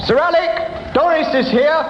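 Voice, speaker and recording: A man's voice: very high voice, a little slow, roomy sound, very noisy. A man singing comically as a panel game contestant, over piano on a muffled recording. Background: loud piano music.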